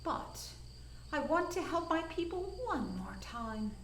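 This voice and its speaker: A woman voicing a tiny, elderly grandmother spider in a storybook, soft and squeaky, gentle but determined. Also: sing-song, slow, heavily acted. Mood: firm, coaxing. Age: older. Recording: echoey room with noise behind.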